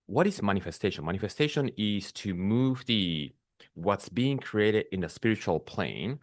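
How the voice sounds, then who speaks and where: Man, podcast host, low voice, calm and measured, dry close sound. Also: no room sound. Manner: calm, measured, searching for words with restarts. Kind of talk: reflective spiritual monologue.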